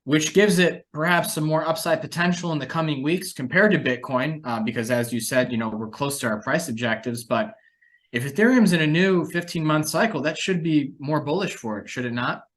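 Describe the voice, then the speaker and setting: A man's voice: a little high, brisk, a bit bright. A man hosting a conversational discussion about financial markets, speaking as an analyst. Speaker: podcast host and market analyst.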